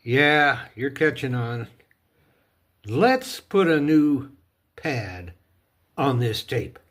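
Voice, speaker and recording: A man's voice: medium pitch, big pitch swings, drawn-out words, fairly bright tone. A man casually narrating a hands-on DIY repair, talking himself through each step in a folksy, playful way. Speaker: host of a DIY repair video.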